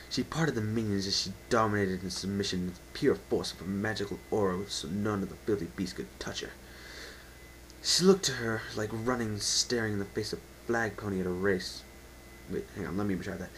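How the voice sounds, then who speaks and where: A man's voice: lowish voice, fairly bright tone, slight background noise, rough recording. A man narrating an unedited dramatic story reading with theatrical, emphatic delivery. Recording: amateur home recording with faint whine.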